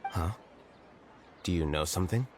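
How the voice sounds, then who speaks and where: A man's voice: sits low, normal speed, a little hiss or hum, natural voice. An actor playing a cool, blunt, composed male scholar in a video game, speaking in a detached, matter-of-fact way. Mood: calm, analytical.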